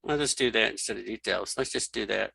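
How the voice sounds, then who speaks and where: A man giving a casual software tutorial, thinking aloud as he demonstrates each step. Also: lowish voice, quick pace, vocal fry, slightly rough, no echo. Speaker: instructor.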